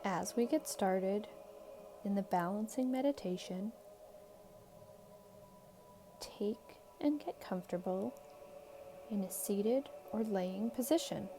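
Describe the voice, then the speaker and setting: A woman leading a guided meditation in a calm, soothing, measured way, leaving long pauses between short phrases. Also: mid-range voice. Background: background music.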